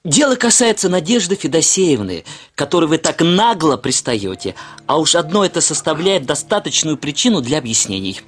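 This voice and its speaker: An actor playing a brash, self-important young suitor in a radio play, curt and pompously formal, with little hesitations. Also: male voice, fairly high pitch, sing-song, a little fast. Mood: challenging. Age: young adult. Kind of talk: Russian radio play acting.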